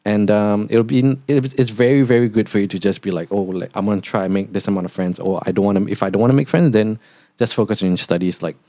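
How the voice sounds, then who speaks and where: Low-voiced Singaporean man chatting casually. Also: fairly even intonation, fast, warm tone, no room sound. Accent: Singaporean, partly neutralized toward Australian. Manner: rambling, lots of "like" fillers. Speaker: podcast host, international student. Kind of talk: casual podcast monologue.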